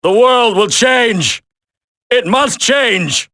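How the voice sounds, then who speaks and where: Forceful, solemn villain colonel. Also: male voice, very high voice, animated, extremely slow, dry recording, clean studio sound, full character voice. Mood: solemn, resolute. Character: grim, principled male terrorist colonel, resolute and vengeful. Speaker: voice actor in a video game. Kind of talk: video game cutscene acting.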